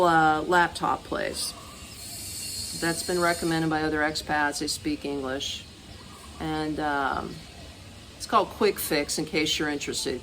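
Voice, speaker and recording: An American woman vlogging casually, telling stories in an animated, colloquial way with direct asides to the viewer. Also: lowish voice, slight background noise. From United States. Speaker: expat vlogger. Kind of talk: casual chat to camera.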